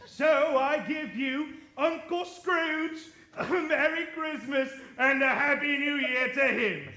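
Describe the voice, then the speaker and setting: A man playing a cheerful young gentleman in a live radio play, declaiming heartily. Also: extremely high pitch, takes it slowly, heavily acted. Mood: merry. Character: jovial party host toasting, cheerful young gentleman. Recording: roomy live stage.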